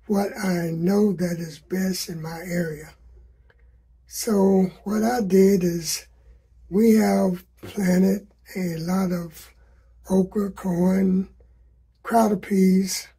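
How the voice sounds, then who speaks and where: Hoarse gardener, slow and folksy, very husky mellow voice, talks to viewers outdoors. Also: male voice, high-pitched, fairly even intonation. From South Carolina. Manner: slow, folksy, repeats sayings to drive a point home. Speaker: gardening vlogger. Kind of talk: casual advice to viewers.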